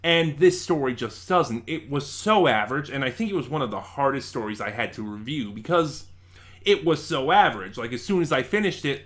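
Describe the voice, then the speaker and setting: A man's voice: medium pitch, fairly bright tone. A man from Nevada with an American accent, hosting a review channel with casual, opinionated ranking commentary and an informal, emphatic delivery. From Nevada, United States.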